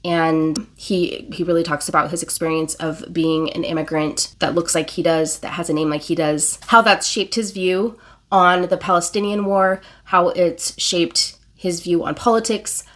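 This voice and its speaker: A woman's voice: fairly low pitch, steady tone, normal speed, a bit bright. A woman in her forties, still congested from an illness, talking earnestly and emotionally about a book in a casual reading vlog, with fillers and self-corrections. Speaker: book vlogger.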